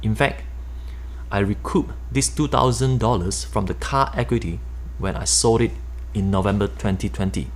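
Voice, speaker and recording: A middle-aged man with a Malaysian accent reading his own nonfiction book aloud in a steady, explanatory way. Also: lowish voice, moderate pace, a little hiss or hum. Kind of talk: nonfiction audiobook narration.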